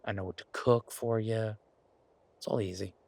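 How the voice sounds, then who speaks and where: Casting: male actor as devoted chef boyfriend for intimate audio roleplay, lowish husky voice, playful and earnest. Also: fairly even intonation, moderate pace, a little mellow. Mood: affectionate, teasing. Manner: teasing, earnest, rambling self-justification. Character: affectionate eager chef boyfriend, playful, food-loving, werewolf romance.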